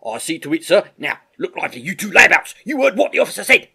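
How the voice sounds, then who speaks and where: A man voicing a blunt, loyal army sergeant in a storybook, brisk and plain-spoken with rustic grammar. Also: medium pitch, animated, creaky, sharp tone, breathy edge, pitched above the performer's own voice, full character voice. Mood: brisk, barking.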